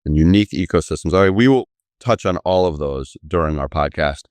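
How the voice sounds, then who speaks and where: A man's voice: sits low, brisk, darkish tone, no echo. A man hosting a business podcast, introducing guests and asking them questions in an easy conversational way. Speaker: podcast host. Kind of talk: conversational interview.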